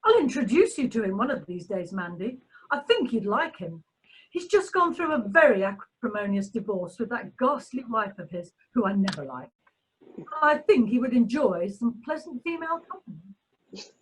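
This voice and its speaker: Casting: actress as arch society snob for radio comedy, high voice swooping widely, catty and dramatic. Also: female voice, very animated, normal speed, rough recording. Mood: snobbish, gossipy. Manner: arch, exclamatory. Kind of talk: amateur radio play acting.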